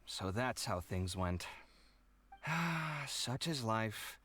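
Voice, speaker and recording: A man's voice: lowish voice, expressive, extremely slow, sharp tone, husky, soft background music, exaggerated voice. A man playing a fussy, sardonic but caring young roommate in a video game, dramatic in delivery. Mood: exasperated.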